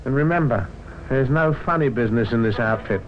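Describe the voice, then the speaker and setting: An actor playing a menacing, commanding London gang boss in a 1950s radio drama. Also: male voice, medium pitch, brisk, close to an everyday voice. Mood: cool menace. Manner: commanding, coolly threatening. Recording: dull, noisy old radio recording.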